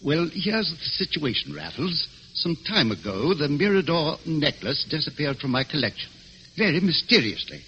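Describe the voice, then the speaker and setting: Eager gentleman client, vintage radio mystery. Lively, swooping delivery, formal but hesitant, with noise on the old recording. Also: a little high, moderate pace, lo-fi sound, heavily acted. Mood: earnest, uneasy. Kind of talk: 1940s American radio drama acting.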